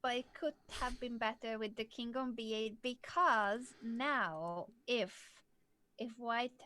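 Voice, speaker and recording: A woman's voice: on the high side, slow, bright, forceful tone. A woman from southern Hungary, patiently explaining chess moves as a coach on a live stream. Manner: clear, patient explaining, laughs easily.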